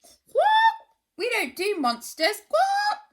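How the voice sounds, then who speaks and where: Shrill screechy rainbow birds, squawking loud and high in a storybook. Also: female voice, wildly swooping pitch, very slow, exaggerated voice.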